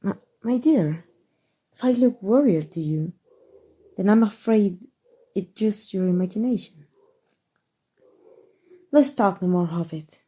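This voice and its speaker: Woman voicing a breathy, slow-speaking elegant vampire lady. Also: mid-range voice, animated. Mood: doting, wistful. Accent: Mexican. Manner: soft, tender, formal and unhurried endearments. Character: elegant centuries-old female vampire, doting and gently possessive. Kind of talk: ASMR roleplay.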